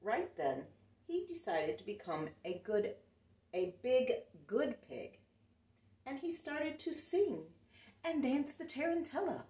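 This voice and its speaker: A woman reading a picture book aloud to children in an animated, expressive way, recorded in an echoey room a little away from the mic. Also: high-pitched, slow, distant mic. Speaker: children's storyteller.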